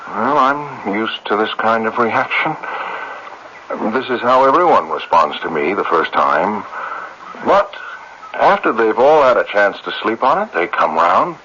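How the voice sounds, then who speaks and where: On a dull old radio drama recording with faint hiss, a wealthy, coldly rational businessman. His speech is pedantic and formal with hesitant stammers, and his pitch moves in animated swings. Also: unhurried, heavily acted. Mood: unruffled, assured. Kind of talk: 1970s radio drama acting.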